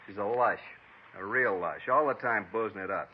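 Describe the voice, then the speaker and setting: A man's voice: on the low side, normal speed, a little hiss or hum, close to an everyday voice. An actor playing a defensive, self-pitying ex-con man being questioned, in a 1950s radio drama. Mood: scornful. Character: defensive ex-con man, middle-aged, sullen and evasive. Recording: dull old radio broadcast.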